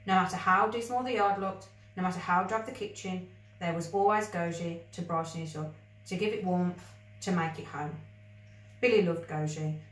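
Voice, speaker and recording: Woman, slightly low voice, expressive storybook narration, roomy echo, distant mic. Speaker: teacher reading a children's story aloud. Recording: echoey room, distant mic.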